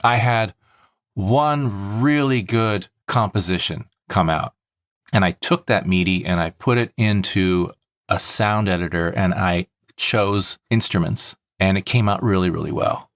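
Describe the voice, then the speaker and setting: A man with a low voice talks as a podcast host. His delivery is casual and explanatory, working through things methodically. The sound is dry, with very little room to it. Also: measured pace, a bit bright, no room sound.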